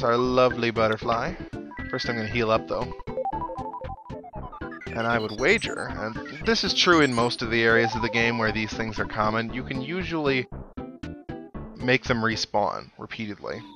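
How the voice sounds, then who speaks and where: A man giving casual let's play commentary, musing aloud and reading out on-screen text as he goes. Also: mid-range voice. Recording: game music behind the voice. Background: video game music.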